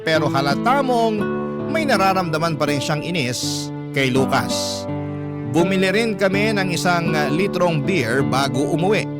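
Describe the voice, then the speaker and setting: A man with a Filipino accent telling a story as narrator, in the style of classic radio programs. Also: fairly high pitch. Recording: loud guitar music under voice. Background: plucked guitar music.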